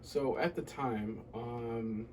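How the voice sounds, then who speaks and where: A man from the United States answering interview questions casually and reflectively, often pausing mid-sentence to find his words. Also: mid-range voice, fairly even intonation, recorded from a distance, a little hiss or hum. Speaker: podcast guest.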